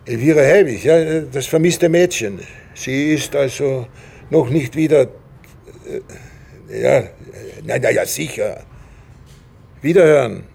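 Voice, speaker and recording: An actor playing a harried, sardonic police detective in a radio drama, with questioning, halting delivery. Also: male voice, medium pitch, gravelly, a little mellow, slightly rough, heavily acted.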